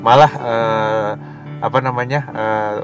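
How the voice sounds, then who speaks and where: A man's voice: fairly low pitch, slow pace, over music. A middle-aged man from West Java co-hosting a casual podcast chat, telling stories with frequent laughs and acted-out quotes. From West Java, Indonesia. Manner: animated storyteller, laughs often and acts out quoted speech. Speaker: podcast co-host.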